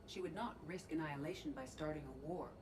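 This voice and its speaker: A woman's voice: deep voice, fast talker, crowd noise, lightly acted. A woman playing a poised, grave alien officer in a video game, speaking formally and precisely. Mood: grave, measured. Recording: noisy game ambience behind voice.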